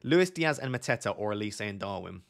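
A man's voice: lowish voice, animated, creaky. A man in his thirties with a sore, fading voice, reading viewer questions and thinking aloud on a live stream from a home desk. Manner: thinks aloud, reads questions from chat, talks quieter and slower. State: losing his voice, sore throat. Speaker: fantasy football streamer. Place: indoor home studio at a desk.

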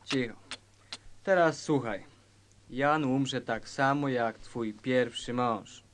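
A man's voice: medium pitch, takes it slowly, a little hiss or hum, natural voice. A man playing a scheming fireman in his early thirties in a comic crime radio play, conspiratorial and coolly persuasive.

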